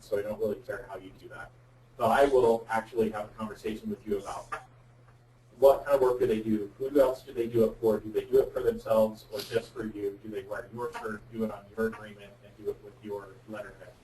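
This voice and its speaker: A man's voice: lowish voice, darkish tone. A man giving candid, plain-spoken explanations as a guest expert on a web conference call. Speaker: guest speaker, insurance representative. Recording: roomy, distant mic with faint noise.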